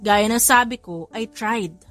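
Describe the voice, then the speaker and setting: A woman's voice: a little high, unhurried, fairly bright tone. A charming young father as a woman narrator plays him, clumsy and apologetic, speaks with sweet sincerity. The style is romance audio drama, with music underneath. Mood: sheepish.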